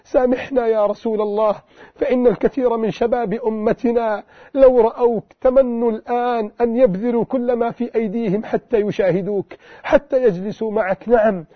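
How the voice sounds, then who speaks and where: A man with a strikingly high voice preaches a pleading, impassioned sermon built on repeated refrains. The sound is thin and phone-like, with no room echo at all. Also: steady tone, brisk. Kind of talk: emotional sermon addressing the Prophet. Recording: tinny phone-like lo-fi recording.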